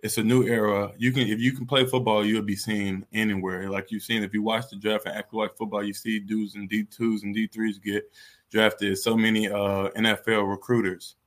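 A man's voice: on the low side, flat delivery, normal speed. A man in his twenties with an American accent, a college athlete giving candid, casual interview answers in a conversational way. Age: 20s. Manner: candid and conversational, frequent "you know". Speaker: college football player being interviewed.

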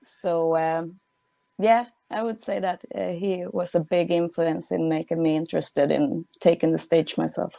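Slow, halting Swedish-accented woman. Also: lowish voice, steady tone, vocal fry, warm tone, no room sound, poor audio. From Sweden. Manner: hesitant, halting, often laughing. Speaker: guest, punk band musician. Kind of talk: casual interview chat.

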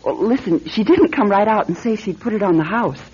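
A reluctant, fretful young wife in a radio drama. Her voice is very husky and swings widely in pitch. The recording sounds dull. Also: expressive, brisk, a little mellow. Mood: chatty, hesitant.

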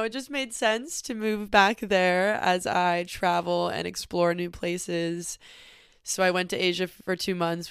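A woman's voice: fairly low pitch, clean tone, no room sound, studio quality. A young woman from Northern California hosting a casual podcast from a small cabin, talking openly and reflectively with lots of "like" fillers, a little sniffly from allergies. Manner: open, reflective, frequent "like" fillers. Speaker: podcast host. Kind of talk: casual personal monologue.

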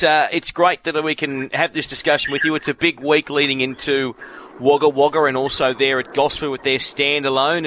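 A man's voice: medium pitch, bright, forceful tone, slight background noise. An Australian man hosting a sports radio show, chatting with a guest in a friendly, easygoing way. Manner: friendly, chatty, some fillers. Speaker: radio host. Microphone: studio microphone.

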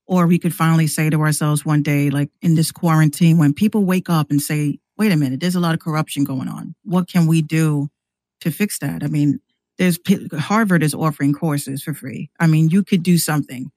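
An American woman chatting casually and candidly in a slangy, animated way, acting out exchanges as she tells stories. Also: low-pitched, brisk, no echo. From New York City, US. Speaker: podcast guest, rapper and radio show host. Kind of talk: casual conversational storytelling.

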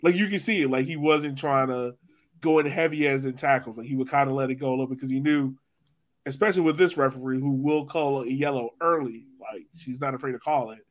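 A man's voice: mid-range voice, fairly quick. A man hosting a casual, conversational sports discussion as a podcast host.

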